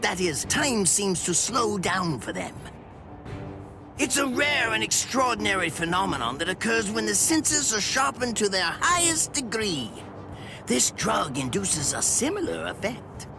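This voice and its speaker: A man's voice: high voice, sing-song, measured pace, creaky, music in the background, full character voice. An actor voicing a smug, sadistic mad scientist in an anime game, condescending and theatrical in his drawl. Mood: gloating, lecturing. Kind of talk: anime video game dub acting.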